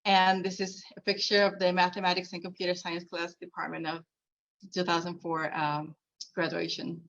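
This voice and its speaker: Low-voiced woman from Puerto Rico, lo-fi. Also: little pitch movement, moderate pace, a bit bright. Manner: earnest, personal storytelling with fillers. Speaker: keynote speaker. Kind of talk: conference keynote talk. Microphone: video call.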